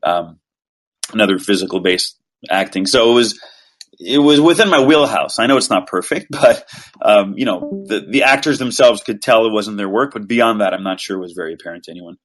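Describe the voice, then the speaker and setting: A man from Quebec, a voice actor guest, chatting casually in a relaxed, rambling, self-deprecating way. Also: on the low side, a little fast, soft background music. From Quebec, Canada. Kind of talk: casual interview chat.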